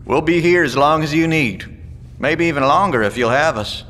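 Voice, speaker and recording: Man as folksy, high-pitched convoy leader. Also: expressive, normal speed, a bit bright. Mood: respectful. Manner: polite, folksy. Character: obliging, folksy male convoy leader from Louisiana. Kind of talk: audio drama acting. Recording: reverberant room with faint noise and rumble.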